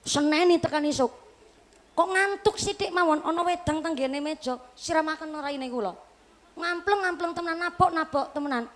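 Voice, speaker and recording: A woman's voice: very high voice, normal speed. A woman in her late twenties with a Javanese accent, a preacher giving a humorous religious talk to a live audience, chatty and joking as she turns to the listeners again and again. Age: late 20s. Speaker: Islamic preacher.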